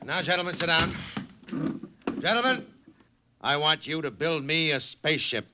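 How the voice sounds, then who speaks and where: A man's voice: fairly high pitch, lively intonation, moderate pace, background noise, close to an everyday voice. A man playing a ruthless, cold industrialist, curt and commanding, in a 1950s radio drama. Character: ruthless, cold male industrialist barking orders. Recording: vintage 1950s radio broadcast.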